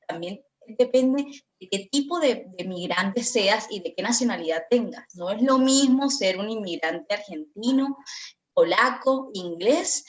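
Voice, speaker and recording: A woman's voice: medium pitch, dull audio. A woman from Colombia speaking as a guest in a virtual conference discussion, reflective and hesitant, with many fillers, over a video call.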